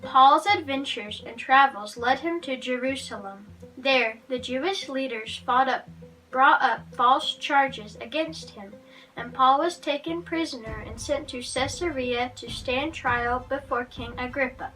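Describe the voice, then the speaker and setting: A woman's voice: high voice, a little slow, pushed and bright. A woman reading a Bible story aloud for children as a guest narrator. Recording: distant microphone, music underneath.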